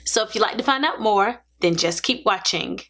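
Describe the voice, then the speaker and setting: A woman in her forties, hoarse from allergies, chatting casually as a beauty vlogger. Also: mid-range voice, expressive, moderate pace. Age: 40s. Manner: chatty, laughs often. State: raspy from allergies. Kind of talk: casual haul vlog.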